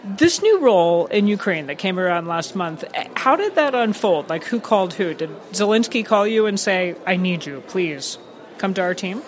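A woman's voice: mid-range voice, lively intonation, normal speed. A woman radio interviewer asking probing questions at the busy entrance of a conference.

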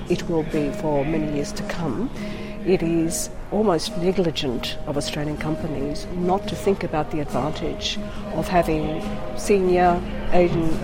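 Low-pitched woman executive, firm deliberate answers over background music. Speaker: chief executive interviewee. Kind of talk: interview answers.

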